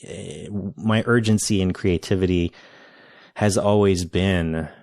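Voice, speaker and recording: A man hosting a podcast interview, speaking in a reflective, measured way with some hesitations. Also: low voice, steady tone, vocal fry, a little mellow. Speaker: podcast host. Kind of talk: casual conversational interview.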